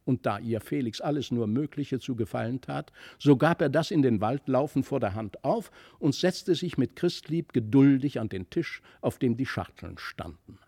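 Guy reading an old fairy tale audiobook, expressive, medium pitch, dry close sound. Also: normal speed, gravelly, hardly any pauses, dry recording. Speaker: audiobook narrator.

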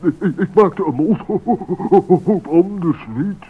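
High, husky, giggling mole. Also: male voice, extremely slow, full character voice. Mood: chuckling, sly. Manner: stammering, chuckling. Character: giggly, stammering male mole, bumbling but sly. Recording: dull old radio recording.

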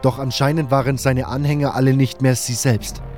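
A man's voice: medium pitch, little pitch movement, normal speed, a little mellow. A man reading scripted first-person narration for a fantasy audio drama. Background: faint music.

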